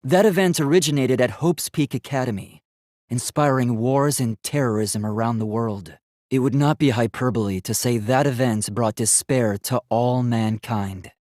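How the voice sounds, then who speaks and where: A man's voice: mid-range voice, moderate pace, darkish tone, no echo, studio quality, lightly acted. A man playing an earnest young male robot student in a video game, speaking in a precise, polite and formal way. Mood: explanatory.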